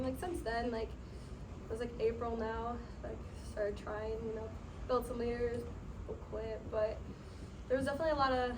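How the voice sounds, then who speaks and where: A young woman telling a casual story to a group, chatty and full of "like", laughing often. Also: a little high. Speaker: sales team member sharing her story. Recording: distant mic, noisy room.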